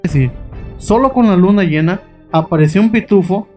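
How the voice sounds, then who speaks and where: Man narrating eerie tales in a higher voice. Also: brisk, dark tone, soft background music. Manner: suspenseful, rhetorical questions to listeners. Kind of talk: scripted dramatic narration.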